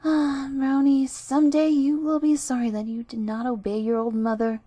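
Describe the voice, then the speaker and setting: A woman's voice: sits high, flat delivery, normal speed, warm tone, no room sound, pitched above the performer's own voice. A woman voicing an old, worried mother pig. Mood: pleading. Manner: earnest and warning. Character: old, feeble mother pig, anxious and fond.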